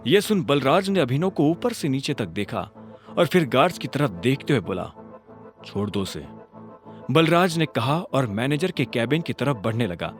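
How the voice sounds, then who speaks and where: A man's voice: medium pitch, big pitch swings, normal speed. A man narrating a scripted audio drama in a dramatic storytelling style. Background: music.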